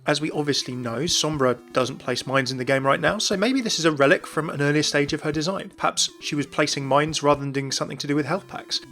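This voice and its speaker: A man gives informal gaming commentary at a quick clip. His voice is bright and a little high, with light music underneath. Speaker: gaming video host. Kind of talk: informal scripted-sounding video commentary.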